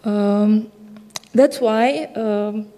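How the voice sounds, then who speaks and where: A woman with a Romanian accent, a native Romanian speaker, giving a technical conference presentation in halting English full of filler sounds. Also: medium pitch, dark tone. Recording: long hall reverb.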